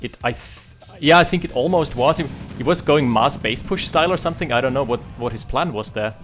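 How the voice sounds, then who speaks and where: A man casting a strategy game final in a lively, joking way, quick to react to big plays. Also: mid-range voice, soft background music. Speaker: esports caster. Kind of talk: casual game commentary.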